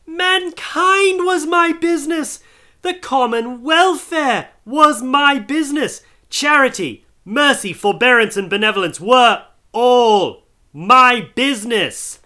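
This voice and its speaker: A man voicing an anguished male ghost in chains, declaiming in a wailing, drawn-out way. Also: extremely high pitch, animated, bright, forceful tone, pitched above the performer's own voice, heavily acted. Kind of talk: dramatic audiobook reading.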